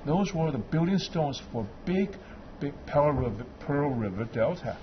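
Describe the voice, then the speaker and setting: A man's voice: on the high side, measured pace, muffled recording, a little hiss or hum. A man from Beijing, a guest on a studio panel, making his points with emphatic, listing delivery. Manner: animated, emphatic, lists points.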